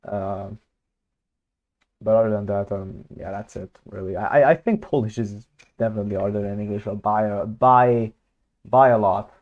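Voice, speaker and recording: A man's voice: lowish voice, moderate pace, phone-quality sound. Hesitant young man thinking aloud. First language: Hungarian. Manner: hesitant, many fillers and restarts. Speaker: streamer. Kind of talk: casual livestream chat, thinking aloud.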